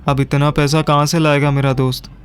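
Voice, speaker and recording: A man playing a wry, deadpan, grumbling friend in an audio comedy drama. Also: fairly high pitch, brisk, soft background music, lightly acted. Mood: dry, mocking.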